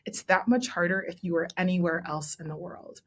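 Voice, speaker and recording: A woman's voice: on the low side, fairly even intonation, fairly quick. A young adult woman, raised in Texas, giving articulate, explanatory interview answers as a guest, often adding "right?". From born in Nigeria, raised in Texas. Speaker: guest, commissioning editor.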